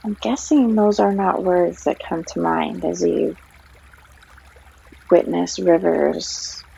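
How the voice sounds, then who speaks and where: Female meditation guide, gentle and unhurried, heavy vocal fry, husky breath, stream trickling behind a slightly dull recording. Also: fairly low pitch, fairly even intonation. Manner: gentle, unhurried, long pauses between phrases. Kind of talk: guided meditation, soothing narration. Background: flowing stream water.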